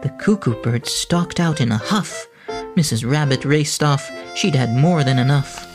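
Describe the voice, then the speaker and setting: A woman's voice: rumbling low, unhurried. A woman reading a rhyming children's story aloud in a sing-song, rhythmic way. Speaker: narrator. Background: music.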